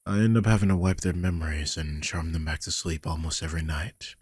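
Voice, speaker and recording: A man's voice: sits low, fairly even intonation, brisk, a little mellow, no room sound, studio quality. A man playing a worried, guilty vampire king who is protective of his charge, confiding wearily in an audio roleplay. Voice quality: husky, breathy. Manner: confiding, weary, sighing, swears. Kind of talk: dramatic audio roleplay, one side of a conversation.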